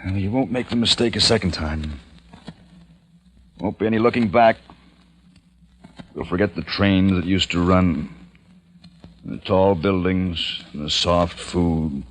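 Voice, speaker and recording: An actor playing a weary, resolute leader of plague survivors, speaking gravely and deliberately in old-time radio style. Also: male voice, low-pitched, a little hiss or hum. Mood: weary despair. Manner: grave, deliberate, solemn delivery. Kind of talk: old-time radio drama acting and narration. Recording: vintage radio drama with music behind.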